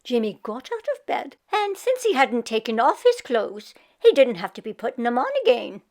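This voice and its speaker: A woman narrates a children's story aloud. Her voice sits fairly high and moves with very lively intonation. The delivery is scripted, expressive storytelling. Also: normal speed.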